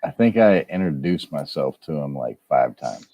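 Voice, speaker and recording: A man's voice: rumbling low, expressive, normal speed, dark tone, a little hiss or hum. An American man chatting casually and swapping anecdotes as a guest on a livestream.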